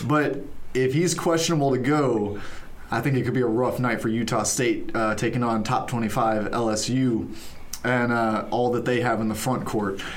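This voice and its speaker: A man's voice: mid-range voice, fairly even intonation, normal speed. A young man co-hosting a college sports podcast in a radio studio, chatting casually and teasing his co-hosts. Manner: joking, teasing. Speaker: podcast co-host.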